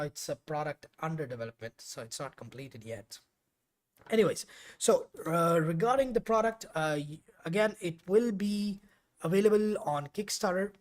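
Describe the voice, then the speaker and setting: A man whose first language is Urdu, hosting a live coding stream and talking casually and chattily to viewers, with frequent fillers and restarts. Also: fairly high pitch. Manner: chatty and rambling, with frequent fillers and self-corrections.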